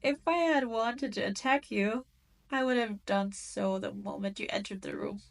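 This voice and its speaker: A woman's voice: medium pitch, normal speed, gravelly, close to an everyday voice. A woman playing a cruel, gloating demon queen in a scripted audio roleplay, condescending and taunting. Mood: teasing, condescending.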